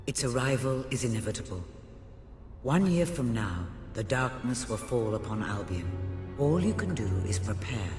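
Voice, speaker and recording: A solemn mentor figure from a fantasy game acted by a man delivers grave, cryptic counsel to a monarch. The voice rings with echo and long reverb, with music playing clearly behind it. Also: mid-range voice, lightly acted. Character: solemn, cryptic mentor prophesying doom to a new king. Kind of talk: dramatic video game cutscene dialogue.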